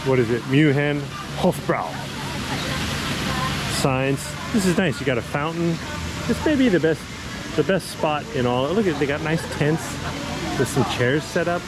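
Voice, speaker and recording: A man's voice: mid-range voice, low-quality recording. A man hosting a casual walking tour, chatting and explaining in an informal, laid-back way while recording outdoors on city streets. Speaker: vlog host and walking tour guide. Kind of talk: casual walk-and-talk narration. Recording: outdoor street noise, passing traffic. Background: city ambience and cars.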